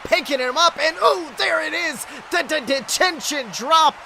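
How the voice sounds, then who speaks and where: A male wrestling commentator calls the action in a strikingly high, sharp voice. His delivery is breathless and exclamatory, full of dramatic emphasis. There is faint noise and ambience behind him. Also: a little slow, vocal fry. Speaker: wrestling commentator, podcast host. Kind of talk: excited play-by-play wrestling commentary.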